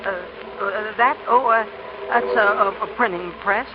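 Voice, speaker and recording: A young man acting an eager, stammering, fast-talking boy inventor in a 1940s American radio drama. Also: sits high. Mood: nervous. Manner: stammering, pleading, earnest. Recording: dull, noisy old radio broadcast recording.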